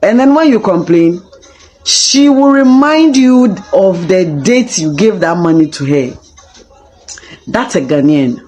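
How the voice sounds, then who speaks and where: A woman's voice: medium pitch, animated, takes it slowly, darkish tone. A woman giving a casual, emphatic opinion monologue, repeating her points for effect.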